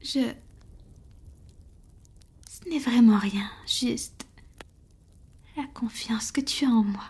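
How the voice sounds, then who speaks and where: A woman's voice: fairly high pitch, slow pace, pauses often, a little mellow, breathy edge, exaggerated voice. A woman playing a tender, vulnerable vampire lover in an intimate, whispered ASMR roleplay, speaking breathily and hesitantly. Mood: worried, loving.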